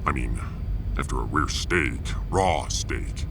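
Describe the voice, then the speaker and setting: A man's voice: a bass voice, takes it slowly, music in the background, full character voice. A man playing a proud, blunt alien warrior officer in a sci-fi audio drama, speaking with solemn formality. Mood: blunt, earnest. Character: gruff, proud male alien warrior officer, hungry for glory.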